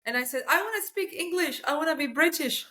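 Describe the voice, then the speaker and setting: A young woman from Croatia, whose first language is Croatian, chats on a podcast. She tells her stories with animated energy, often restarting sentences and breaking into laughs along the way. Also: sits high, fast talker, over a noisy crowd. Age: young adult. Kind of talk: casual podcast chat.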